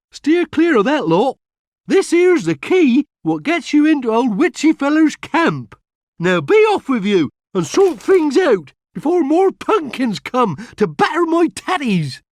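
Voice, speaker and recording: A man playing a rustic, excitable farmer in a game cutscene, folksy, with an English West Country accent. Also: extremely high pitch, no echo, studio quality, full character voice. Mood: relieved, warning. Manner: folksy, bumpkin-like. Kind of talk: animated game cutscene acting.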